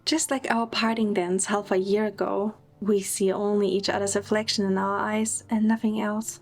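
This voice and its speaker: A woman's voice: medium pitch, flat delivery, moderate pace, a little mellow, a little hiss or hum. A woman narrating a scripted first-person romantic story in an audio drama.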